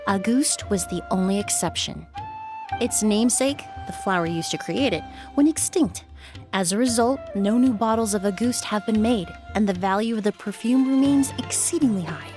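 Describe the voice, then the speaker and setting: An actress voicing a refined young woman perfumer in a video game, speaking in a measured, articulate way. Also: medium pitch, over music, natural voice. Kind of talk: game voice acting.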